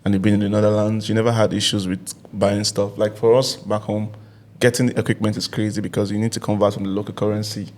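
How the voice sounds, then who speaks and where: A man hosting a casual podcast conversation, asking quick questions and throwing in teasing remarks. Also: on the low side, little pitch movement, a little mellow.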